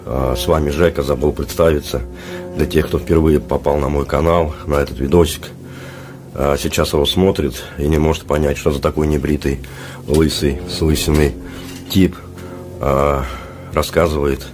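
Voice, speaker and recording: Sick guy vlogging on his phone, super deep monotone voice, sore throat, halting casual talk. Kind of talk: casual vlog talk to camera. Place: small dormitory room, indoors. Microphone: handheld phone at arm's length.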